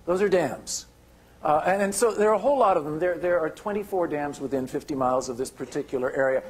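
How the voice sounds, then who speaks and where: An older man giving a keynote lecture with slides, halting, with many restarts and fillers. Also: on the high side, slightly rough. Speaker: keynote speaker. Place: lecture hall.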